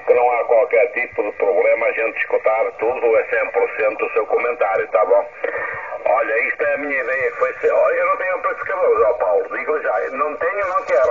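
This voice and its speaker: A man's voice: mid-range voice, muffled recording. Older Portuguese man over noisy shortwave radio. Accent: European Portuguese. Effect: heard through a shortwave radio. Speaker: amateur radio operator. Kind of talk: casual ham radio chat. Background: loud radio static and hiss.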